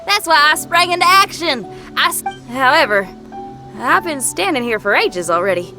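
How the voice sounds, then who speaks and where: A woman's voice: high voice, big pitch swings, moderate pace, sharp tone, soft background music, heavily acted. A woman playing a spirited, tenacious young rock musician in a video game, with a Southern US accent and a folksy, upbeat manner. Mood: resilient. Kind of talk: anime-style video game acting.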